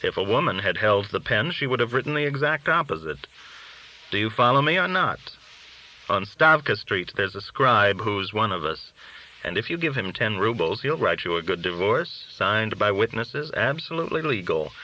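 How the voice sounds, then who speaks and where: A man's voice: lowish voice, sing-song, moderate pace, bright, forceful tone, muffled recording, exaggerated voice. A man reading an audiobook, voicing a worldly, cynical, boastful underworld dealer who talks in glib, argumentative rhetorical questions. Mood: cynical, persuasive. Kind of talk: audiobook character dialogue.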